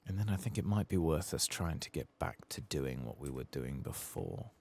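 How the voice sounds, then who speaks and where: A man softly narrating a video game playthrough in ASMR style, murmuring and musing hesitantly to himself as he plays. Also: very deep voice, animated, moderate pace, creaky. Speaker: gaming channel host. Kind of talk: soft-spoken ASMR game playthrough commentary.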